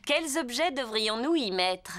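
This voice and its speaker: A woman's voice: a little high, animated, unhurried, fairly bright tone, a little hiss or hum. A woman voicing a kindly, encouraging nursery teacher who explains things to children in a cartoon dub.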